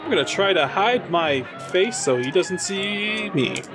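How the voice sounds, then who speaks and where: Fairly high-voiced man playing a tabletop game. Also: lively intonation, unhurried, over music. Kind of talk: casual game chat.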